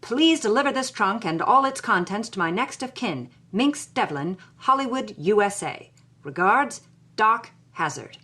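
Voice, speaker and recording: A woman's voice: medium pitch, lively intonation, measured pace, bright, forceful tone. A woman voicing the letters of a roguish male adventurer, in a hearty, salty, emphatic way.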